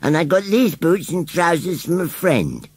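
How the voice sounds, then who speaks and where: Storybook scarecrow: rural English rustic, touchy and cheeky, raised pitch with wild swings, slightly husky. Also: male voice, huge pitch swings, normal speed, dry recording, exaggerated voice. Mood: airy, pleased. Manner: rustic, emphatic, dialect-laden speech. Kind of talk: children's storybook character voice.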